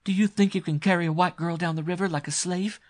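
A man's voice: high-pitched, steady tone, quick pace, darkish tone, voice pushed up, natural voice. A man voicing a passionate, frightened young captive woman in an adventure story, with a pleading, melodramatic delivery. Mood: defiant.

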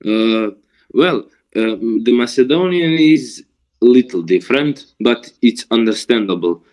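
Ein junger Mann, der gemütlich und zögernd in einem Videoanruf erzählt. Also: male voice, medium pitch, measured pace, a little mellow. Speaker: video chat stranger. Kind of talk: casual chat.

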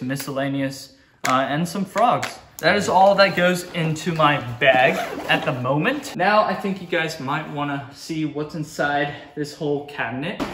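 A teenage boy hosting a fishing channel, showing off his gear in a relaxed, chatty way with plenty of fillers, filmed by a table camera in a small indoor gear room. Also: on the high side. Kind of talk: casual show-and-tell vlog. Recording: echoey room with noise.

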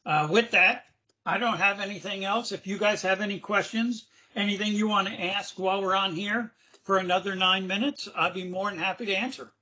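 Male webinar presenter on a video call, slightly hoarse, loose and chatty with fillers, high bright voice. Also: fairly even intonation, a little fast, low-quality recording. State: losing his voice, hoarse. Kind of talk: casual screen-share demo.

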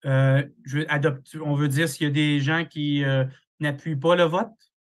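A man runs a formal committee meeting over a video call. His voice stays flat and level, and he works through procedural points with frequent hesitations and fillers. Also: on the high side. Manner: procedural, halting with many fillers. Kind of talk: chairing a formal online meeting.

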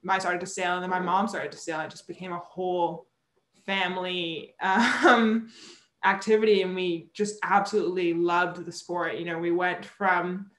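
A woman's voice: lowish voice, fairly even intonation, normal speed, fairly bright tone. Brief: sailor from Barbados in her twenties, chatty interview guest on a video call, roomy home audio. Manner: chatty, explains with hand gestures, laughs often. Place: indoor room at home.

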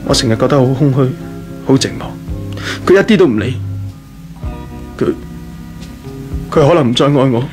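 A man's voice: medium pitch, animated, moderate pace, long pauses, natural voice. A man with a Hong Kong Cantonese accent playing a jealous, self-pitying young rich husband in a 1980s radio drama. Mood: drunk, despondent. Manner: emotional, self-pitying, impatient. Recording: 1983 radio drama with background music.

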